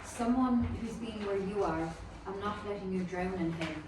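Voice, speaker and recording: A woman playing a steady, hopeful rescuer in a screenplay table read, calm and earnest. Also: mid-range voice, normal speed, close to an everyday voice. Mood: firm. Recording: distant, echoey room with clear noise.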